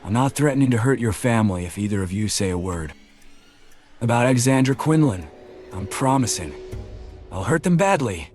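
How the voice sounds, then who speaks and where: A man voicing Leo, a thickset hired enforcer who keeps a jovial tone while making quiet threats and calling people "mate", in an audiobook. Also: mid-range voice, lively intonation, normal speed, faint music behind, natural voice. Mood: menacing. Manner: cheery tone over quiet threats, says "mate".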